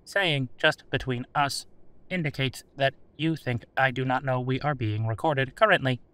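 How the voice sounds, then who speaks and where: Cold, piercingly bright old computer AI man's voice. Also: medium pitch, moderate pace, clean tone, dry recording, close to an everyday voice. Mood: literal, guarded. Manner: stilted, formal, literal, emotionless. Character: old male-voiced artificial intelligence, cold, literal, guarded. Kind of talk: audio drama machine voice.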